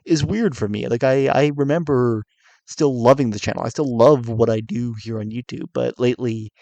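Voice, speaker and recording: A tired young man in his twenties, coming down with a cold, with a low raspy voice, talking hesitantly and candidly to his viewers. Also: moderate pace, dry recording. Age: 20s. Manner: hesitant, soft-spoken, many fillers and restarts. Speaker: YouTuber. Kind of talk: candid confessional vlog.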